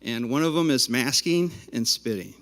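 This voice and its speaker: A man's voice: mid-range voice, animated, moderate pace, a bit bright. A man speaking as a parent during public comment at a school board meeting, confrontational and addressing board members directly. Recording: reverberant hall.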